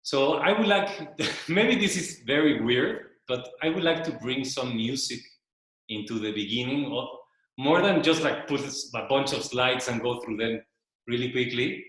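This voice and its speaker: A man whose first language is Spanish, giving a casual spoken presentation in a loft, talking slowly and searching for words, often repeating himself. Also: medium pitch, fairly bright tone. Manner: slow, searching, repeats words while thinking. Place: loft room with a small audience. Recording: very echoey room.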